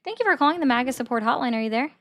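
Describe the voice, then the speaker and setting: A woman with a fairly high voice runs a call-in livestream. She talks bluntly and argues hard with her callers. She is quick to mock them. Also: expressive, fairly bright tone. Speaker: livestream host taking callers.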